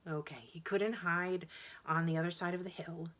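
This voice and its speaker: Woman acting an anxious young boy, hushed and urgent. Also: lowish voice, steady tone, moderate pace, lightly acted. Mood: nervous, hushed. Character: anxious young boy adventurer talking himself through danger. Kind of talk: children's storybook character voice.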